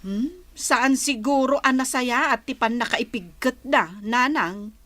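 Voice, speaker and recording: A woman's voice: fairly high pitch, unhurried, sharp tone, no room sound. A woman playing a thrifty, long-suffering elder sister in an audio drama, sighing in reproach with an Ilocano accent. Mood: puzzled pity. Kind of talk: Ilocano radio-style drama acting.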